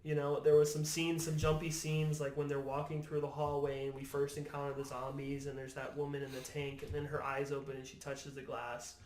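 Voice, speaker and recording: A man's voice: medium pitch, completely flat delivery, brisk, few pauses, a little mellow. A man chatting casually and giving opinions as a podcast guest, emphatic and often repeating his words for effect. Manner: emphatic, repeats words, mimics imagined remarks. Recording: roomy, echoing room.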